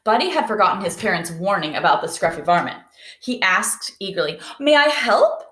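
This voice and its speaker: A woman's voice: on the low side, expressive, fairly quick, pushed and bright, reverberant. A woman teacher reading a picture book aloud expressively and putting questions to young listeners.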